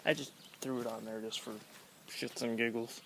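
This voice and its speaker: A man giving a casual, offhand walkaround in colloquial speech, low-key and gravelly. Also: little pitch movement, moderate pace. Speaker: vlogger showing his pickup trucks. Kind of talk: casual chat, walkaround show-and-tell. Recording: noisy outdoor handheld camera recording.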